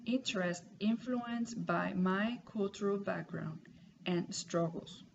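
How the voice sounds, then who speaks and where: Woman in her 30s, reads her class paper slow and careful, bit of fry, dull noisy home recording. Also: mid-range voice, a little mellow. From Puerto Rico. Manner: careful, measured reading with pauses. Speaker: student. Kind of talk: reading a class assignment aloud.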